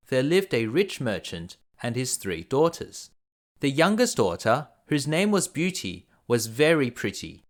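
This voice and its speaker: A man tells a fairy tale to young children. His mid-range voice moves up and down in a lively way. He phrases each line deliberately and expressively, like a bedtime reading. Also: medium pitch. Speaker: storyteller.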